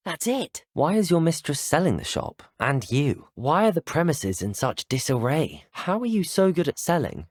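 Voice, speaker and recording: A man's voice: medium pitch, dry recording, studio quality. A young man with a British accent playing a polite, earnest, inquisitive teenage student wizard in a video game, courteous and well-spoken. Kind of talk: scripted video game dialogue voice lines.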